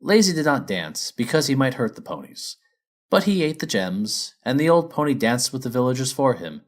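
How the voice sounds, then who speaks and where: A man's voice: medium pitch, big pitch swings, normal speed. A man reading a children's story as its narrator, in a scripted storybook style.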